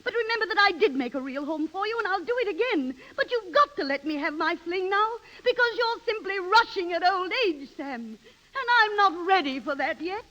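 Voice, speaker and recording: A snobbish, restless wife in an old radio play. Her voice is very high, and she speaks with haughty theatrical airs, vain and impatient with those beneath her. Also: lively intonation, brisk, bright, forceful tone, heavily acted. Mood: strained, pleading. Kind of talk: 1930s radio drama acting.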